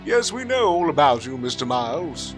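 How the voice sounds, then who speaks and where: A man's voice: fairly high pitch, big pitch swings, normal speed, faint music behind. A man playing an overbearing colonel. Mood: imperious. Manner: imperious, demanding respect. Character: arrogant male military colonel, overbearing superior. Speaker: commanding officer.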